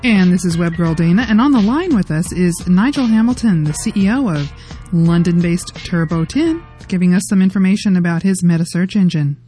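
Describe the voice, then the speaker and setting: A woman co-hosting a radio talk show, asking the guest questions and reading brisk station announcements. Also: on the low side, expressive, dark tone, soft background music. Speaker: radio co-host. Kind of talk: radio interview.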